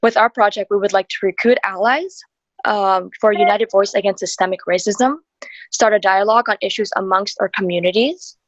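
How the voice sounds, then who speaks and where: A woman's voice: mid-range voice, dry recording. A young woman raised in Hong Kong, reading a presentation script steadily over a video call. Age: young adult. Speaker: youth presenter. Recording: dry, dull lo-fi call audio.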